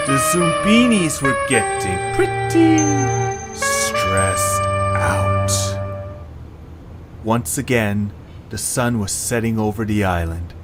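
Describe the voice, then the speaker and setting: Male narrator for a scripted audio story, unhurried, slightly deep, with prominent plucked guitar underneath. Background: loud plucked guitar music.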